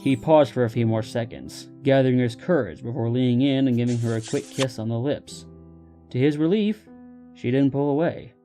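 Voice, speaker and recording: Mellow male story narrator. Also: mid-range voice, normal speed, soft background music.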